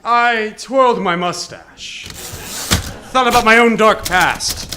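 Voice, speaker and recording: A man playing a wisecracking hard-boiled private detective in a live comedic radio drama, narrating theatrically with deadpan quips and old-time gumshoe slang. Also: high-pitched, takes it slowly. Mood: brooding, wry. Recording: noisy live theater stage recording.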